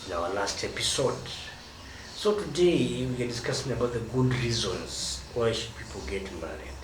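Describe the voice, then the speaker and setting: A Kenyan man giving marriage advice as a teaching talk, stressing key words and drawing them out. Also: lowish voice. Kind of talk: teaching monologue. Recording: roomy echo from a distance, noisy.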